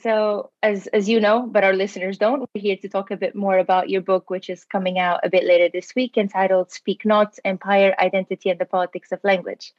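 A woman's voice: mid-range voice, level tone, fairly quick, hardly any pauses, a little mellow, dry recording, lo-fi sound. A woman interviewing an author, asking friendly, structured questions. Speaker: podcast interviewer.

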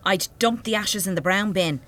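An actress playing a practical, spiteful grown daughter in a radio play, with an Irish accent and a dry, matter-of-fact manner. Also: female voice, mid-range voice, normal speed, a bit bright, close to an everyday voice. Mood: callous.

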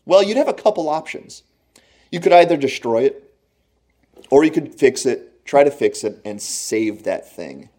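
A man preaching a sermon in an earnest, conversational way, slowing down to stress key phrases. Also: mid-range voice, big pitch swings, dark tone. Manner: laughs at own jokes. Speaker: pastor.

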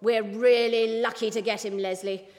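A woman's voice: fairly high pitch, steady tone, moderate pace, a little mellow, big-room sound, lightly acted. Woman acting a brusque, formidable lady boss. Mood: stern. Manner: brusque, scolding, emphatic. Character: formidable, impatient female newspaper arts boss. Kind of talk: audiobook character voice.

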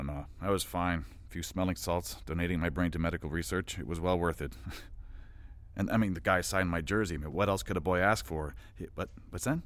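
A man's voice: sits low, lightly acted. An actor playing a flustered, over-polite event organizer in a satirical audio drama, stammering and eager to please while hiding his exasperation.

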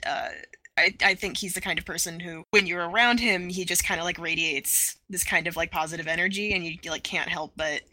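A woman in a casual podcast discussion, talking in a halting way and often repeating her words as she works out a point. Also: fairly low pitch, fairly even intonation, sharp tone, no room sound, rough recording. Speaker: podcast panelist. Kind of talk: casual animated-show discussion.

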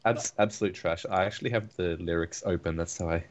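A man's voice: sits low, steady tone, fast. A man co-hosting a casual podcast chat, giving opinions with lots of "like" and "I mean". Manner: opinionated, filler-heavy. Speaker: podcast co-host.

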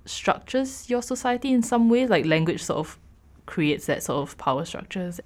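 A woman's voice: medium pitch, animated, normal speed. A young woman from Singapore in casual conversation, thoughtful and hesitant, with many fillers.

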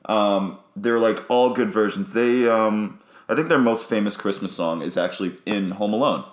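A young man with a deep voice chatting enthusiastically about music as a podcast co-host. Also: normal speed, reverberant. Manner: enthusiastic, sings snippets of songs. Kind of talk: casual chat about music.

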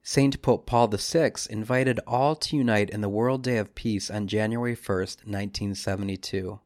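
Low-voiced man leading a guided meditation. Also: steady tone, darkish tone, no echo, clean studio sound. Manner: measured, solemn phrasing with deliberate pauses. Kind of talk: scripted devotional reading and guided meditation.

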